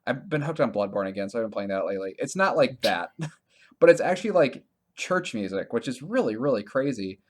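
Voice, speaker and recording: A man with a medium-pitched voice chats as a podcast co-host. He speaks casually and haltingly, circling back to correct himself and padding his thoughts with "like" as he gives his impressions. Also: lively intonation. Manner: halting, self-correcting, heavy use of "like". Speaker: podcast co-host reviewing video games. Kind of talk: casual conversational game review chat.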